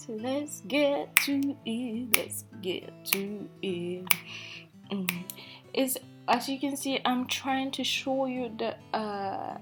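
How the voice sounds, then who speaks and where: Slow-talking African woman with Norwegian-tinged accent. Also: high voice. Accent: African English with Norwegian influence. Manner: slow, hesitant, self-deprecating, many fillers. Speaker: beauty vlogger. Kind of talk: casual makeup tutorial. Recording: faint background guitar music.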